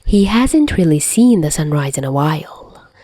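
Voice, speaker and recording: Female storyteller, slightly low pitch, expressive fiction reading, close dry home recording. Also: moderate pace, dry recording. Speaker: podfic reader. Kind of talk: expressive scripted fiction narration. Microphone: close amateur home microphone.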